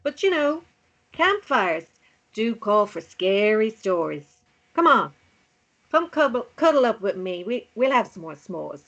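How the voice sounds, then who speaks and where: A woman's voice: medium pitch, sing-song, unhurried, fairly bright tone, lo-fi sound, heavily acted. An actress playing an affectionate mother and campfire storyteller in a table read.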